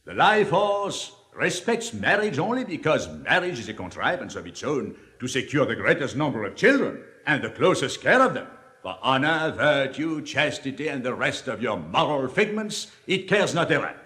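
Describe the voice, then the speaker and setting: Suave cynical libertine, lively swinging intonation, declamatory 1950s stage drama, long hall reverb. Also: male voice, medium pitch. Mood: emphatic, cynical. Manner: rhetorical, deliberate, declamatory. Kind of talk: 1950s theatrical dramatic reading.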